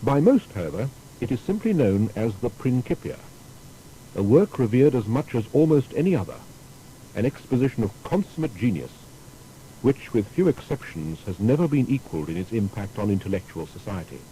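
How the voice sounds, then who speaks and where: Very mellow male documentary narrator. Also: mid-range voice, sing-song, brisk, a little hiss or hum, low-quality recording. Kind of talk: scripted biographical narration.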